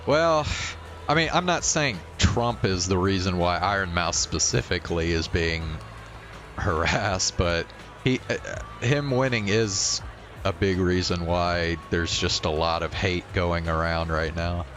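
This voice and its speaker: A man's voice: low-pitched, unhurried, fairly bright tone, faint music behind. A man casually commentating on a live game stream in a blunt, profanity-laced way, speaking off the cuff. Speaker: livestreamer.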